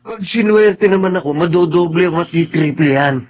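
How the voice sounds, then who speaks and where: An actor playing a sulky, petulant Filipino gambler in a radio drama, pleading and whining like a wheedling older brother. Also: male voice, high voice, fairly quick, a little mellow, dull audio.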